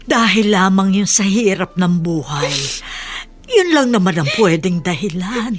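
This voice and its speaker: A woman acting a caring, wise older confidante who counsels gently and earnestly in a radio drama. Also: mid-range voice, expressive, measured pace. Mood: gently probing. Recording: music behind studio drama voice.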